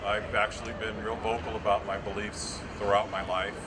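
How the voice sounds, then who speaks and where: A middle-aged man from Massachusetts talks in a naturally loud, casual voice. His pitch is lowish. Heavy traffic noise outside nearly buries him. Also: flat delivery, moderate pace. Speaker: festival speaker. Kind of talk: outdoor public speech. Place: outdoors by a street. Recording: outdoor speech with loud traffic.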